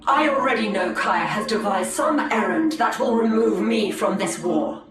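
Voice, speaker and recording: An actress playing a proud, defiant alien elf warrior with formal speech in a video game. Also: female voice, mid-range voice, moderate pace, distant mic, close to an everyday voice. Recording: echoey, off mic.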